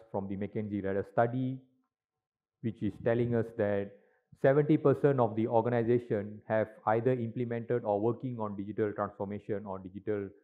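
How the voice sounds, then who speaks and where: A middle-aged man giving a conference talk on stage into a handheld mic, with lots of "uh" and "right?" tags. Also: fairly low pitch. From Malaysia. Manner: filler-heavy, frequent tag questions. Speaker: industry speaker at a conference. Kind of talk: presentation talk. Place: large conference hall stage. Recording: tinny, lo-fi hall recording.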